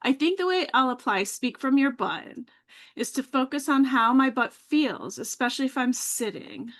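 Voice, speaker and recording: Easygoing woman guest on a video call, kinda high voice, laughs a lot. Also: a bit bright. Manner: chatty, easygoing, laughs readily. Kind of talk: casual live video chat.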